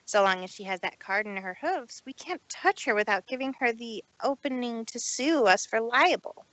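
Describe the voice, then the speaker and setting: A woman voicing a proud, self-important female pony in a fan fiction read-aloud, speaking deliberately and indignantly. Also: fairly high pitch, expressive, a bit bright, no echo, rough recording. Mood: resolute.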